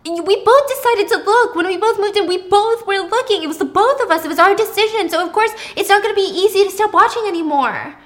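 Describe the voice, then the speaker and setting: Woman acting frantic, high-pitched young girlfriend. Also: quick pace, few pauses. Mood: defensive, frantic. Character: impulsive, defensive, pleading young girlfriend who snoops.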